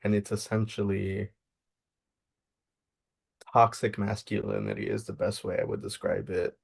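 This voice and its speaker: A young man from Maryland, a social worker, giving earnest, measured interview answers and thinking aloud as he goes. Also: lowish voice, monotone, creaky, breathy edge. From Maryland, United States.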